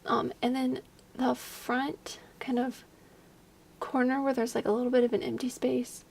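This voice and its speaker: A young woman giving casual voice-over commentary on a game build, speaking quietly at night while slightly hoarse from losing her voice, rambling with lots of fillers. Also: high voice, little pitch movement, unhurried, slight background noise. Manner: rambling, chatty, many fillers; hushed, keeping quiet at night. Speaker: video creator. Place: home at night, at a desk.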